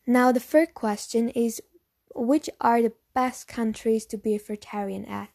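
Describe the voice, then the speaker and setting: A woman's voice: fairly high pitch, steady tone, unhurried, creaky, darkish tone. A young woman from Germany with a German accent, talking frankly and earnestly to camera as a vlogger, with frequent fillers. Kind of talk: casual talk to camera answering questions.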